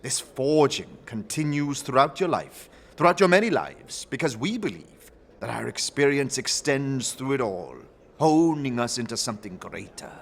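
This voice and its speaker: A man's voice: medium pitch, very animated, moderate pace, gravelly, big-room sound, pitched above the performer's own voice. A man voicing a sturdy, jovial older faction leader in a fantasy audio drama, with grand, expansive, theatrical phrasing. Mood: earnest, zealous.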